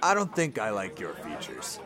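A man playing a gruff bartender in a radio drama, brusque and sneering. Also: on the low side, full character voice. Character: gruff, mocking male tavern bartender.

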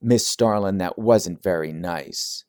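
A man's voice: low-pitched, takes it slowly, a little mellow, no echo, studio quality. An actor playing an anxious, devoted male secretary in a campy audio drama, deferential and earnest. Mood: fretful.

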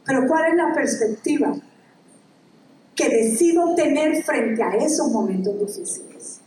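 A high-pitched woman delivers an animated, exclamatory sermon, reading scripture aloud between bursts of enthusiasm. Her voice comes from a distance and rings through a large, echoey hall. A faint room ambience sits under her. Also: measured pace, a little hiss or hum. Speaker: preacher.

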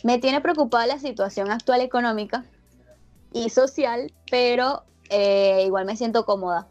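A woman's voice: a little high, normal speed, a little mellow, highs cut off, faint music behind. A woman from Venezuela with a Venezuelan accent that carries a slight Argentine lilt, chatting casually as an interviewee and rambling through many restarts and fillers. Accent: Venezuelan Spanish with a slight Argentine lilt. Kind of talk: casual chat.

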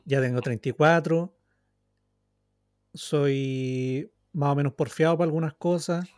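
A man's voice: fairly high pitch, steady tone, measured pace, darkish tone, dry recording, clean studio sound. A man in his thirties with a Chilean accent, co-hosting a casual podcast in a rambling, self-mocking, repetitive way. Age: 30s. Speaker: podcast co-host. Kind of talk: casual, joking chat.

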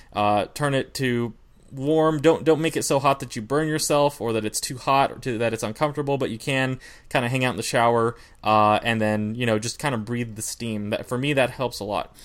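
A man co-hosting a podcast on singing, explaining in a relaxed, rambling way. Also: mid-range voice, a little fast, clear, resonant tone. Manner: relaxed, rambling, many fillers and restarts. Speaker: podcast co-host, singer. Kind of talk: casual podcast chat.

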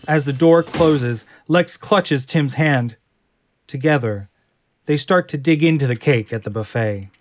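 A man reading stage directions as narrator of a fan audio drama in an even, descriptive way. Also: mid-range voice, unhurried.

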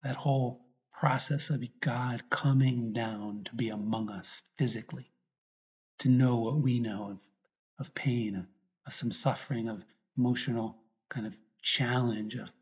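A man, a priest, guiding a meditation and prayer in a reflective way, often pausing mid-thought. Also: mid-range voice, a little mellow. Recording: dull, lo-fi recording.

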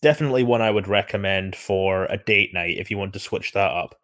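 A man's voice: deep voice, brisk, fairly bright tone, no echo, clean studio sound. A man co-hosting a film podcast, giving his closing thoughts in an easy, teasing conversational way. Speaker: podcast co-host. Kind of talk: casual film discussion.

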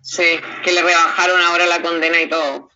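A woman's voice: on the low side, flat delivery, fairly quick, pushed and bright, dull audio, a little hiss or hum. A woman with a Chilean accent interviewing in a casual, slightly hesitant, informal conversational way.

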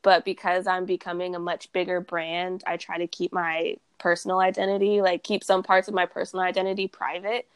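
A woman's voice: on the low side, level tone, a little fast, hardly any pauses, clear, resonant tone, dry recording. A young woman from Texas, a guest on a podcast, chatting casually about her brand, laughing often and saying "like" a lot. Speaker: guest, cannabis brand founder.

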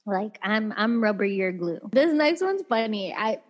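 A chatty young woman co-hosting a podcast, laughing easily and speaking loosely with many fillers. Her voice sits at an ordinary pitch. The audio is dull and lo-fi, with a long reverb trailing after it. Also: big pitch swings, measured pace. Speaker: podcast co-host. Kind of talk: casual chat reading listener messages.

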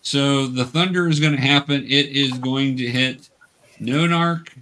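A man's voice: medium pitch, measured pace, sharp tone. Patient man guiding a tabletop game. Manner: patient, explanatory teaching. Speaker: game master.

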